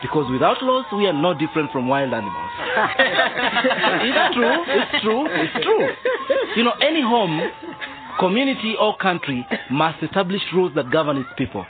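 Animated police sergeant on noisy recording. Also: male voice, sits high, normal speed. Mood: genial, instructive. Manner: chuckling, didactic, hesitant fillers. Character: genial, firm police sergeant and father addressing boys. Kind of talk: radio drama acting. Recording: noisy, tinny phone-like radio drama recording.

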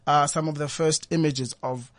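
A man's voice: on the high side, fairly even intonation, normal speed, fairly bright tone, dry recording. A South African man chatting as a guest poet in a radio interview, speaking thoughtfully and often repeating his words. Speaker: guest poet and former journalist. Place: radio studio.

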